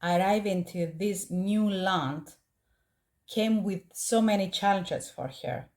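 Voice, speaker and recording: A woman with a Greek accent, a native Greek speaker, hosting a podcast and narrating a true story in an earnest, measured way. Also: medium pitch, a little mellow. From Greece. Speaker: podcast host.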